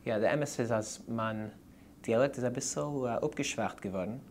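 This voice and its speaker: A man with a Ukrainian Yiddish accent, speaking in casual conversation as an interviewee, halting and searching for words as he goes. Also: mid-range voice, a little mellow, a little hiss or hum.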